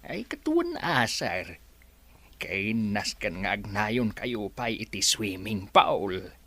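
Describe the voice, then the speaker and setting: A comically timid Filipino resort worker, played by a man in a radio comedy. He speaks slowly and haltingly, his pitch leaping wildly as he defers. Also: big pitch swings, sharp tone, heavily acted. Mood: timid, deferential. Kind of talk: comedy radio drama acting.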